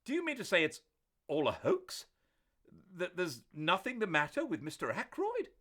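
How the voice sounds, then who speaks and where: A man's voice: high voice, huge pitch swings, normal speed, fairly bright tone, pitched above the performer's own voice, full character voice. A man voicing an agitated middle-aged doctor who demands answers in a raised, urgent voice.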